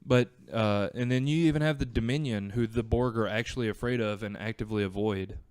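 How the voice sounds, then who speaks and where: A man's voice: lowish voice, a little fast, a little mellow, clean tone, no echo. A man chatting casually and explaining his views as a podcast guest. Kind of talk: casual chat.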